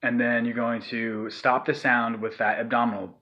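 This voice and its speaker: A man's voice: medium pitch, little pitch movement, normal speed, highs cut off. A man explaining a vocal technique in a loose, rambling way, with lots of fillers and sighed demonstrations. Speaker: vocal tutorial maker. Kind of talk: casual spoken tutorial.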